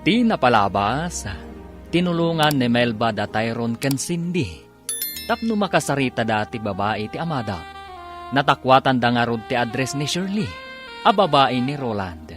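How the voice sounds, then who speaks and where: A man's voice: medium pitch, sing-song, moderate pace. A man with an Ilocano accent giving dramatic scripted narration as the announcer of a radio drama. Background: music.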